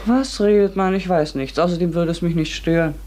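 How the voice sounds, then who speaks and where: A woman's voice: lowish voice, a little fast, dark tone, close to an everyday voice. An actress playing a polite, curious woman visitor in a German radio drama, sceptical yet unsettled, with a questioning manner. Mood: friendly, polite.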